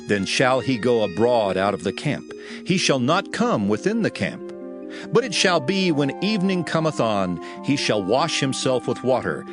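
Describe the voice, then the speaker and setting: Dramatic, sweeping male scripture narrator over music. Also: mid-range voice. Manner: solemn, declamatory. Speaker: audio Bible narrator. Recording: music bed under voice.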